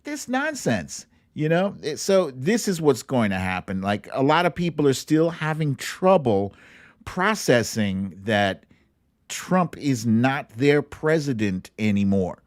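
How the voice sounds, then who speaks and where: Man chatting casually, slow and dry-sounding. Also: medium pitch, sing-song, no room sound. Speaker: host of a political commentary livestream. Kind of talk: casual live commentary.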